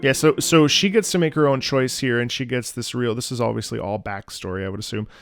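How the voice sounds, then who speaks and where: Casting: male music commentator, mid-range with vocal fry, casual, thoughtful, halting, then emphatic. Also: mid-range voice. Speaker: reaction host, opera singer.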